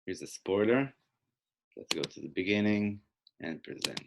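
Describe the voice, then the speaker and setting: A man giving a conversational webinar presentation over a video call, with many fillers and pauses as he shares his slides. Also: fairly low pitch, expressive, creaky, background noise. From Australia, half. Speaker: webinar speaker.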